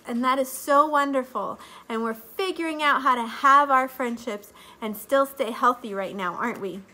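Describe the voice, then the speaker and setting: Bright, high-voiced woman teaching kids. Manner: warm sing-song teacher talk to small children. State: slightly stuffy from allergies. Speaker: children's Bible lesson teacher. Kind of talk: children's Bible lesson to camera. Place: living room at home. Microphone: webcam or phone camera at close range.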